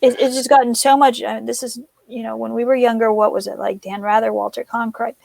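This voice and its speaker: Gravelly-voiced chatty woman, dry sound. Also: a little high, flat delivery, fairly quick, darkish tone, dry recording. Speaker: co-host. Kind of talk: casual conversation. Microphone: video call.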